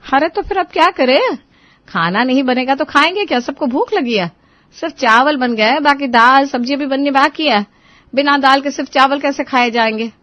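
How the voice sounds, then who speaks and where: A woman's voice: high voice, moderate pace, a bit bright, pitched above the performer's own voice. A woman voicing a cranky, complaining older mother-in-law in a moral-story cartoon, scolding and grumbling with exclamations. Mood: alarmed.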